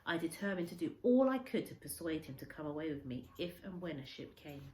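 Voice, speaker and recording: A woman reading a children's story aloud as a teacher, in expressive narration. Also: low voice, a little fast. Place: indoor room with a window. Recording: echoey room with faint background noise.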